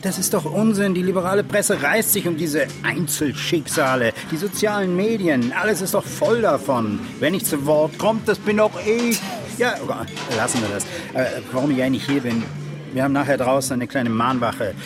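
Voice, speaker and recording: An actor playing a self-important, combative middle-aged politician in a radio drama, with pompous, declamatory delivery. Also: male voice, a little high, animated, normal speed, over music, natural voice. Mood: indignant. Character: self-important married male nationalist politician, combative and aggrieved. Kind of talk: German radio drama acting.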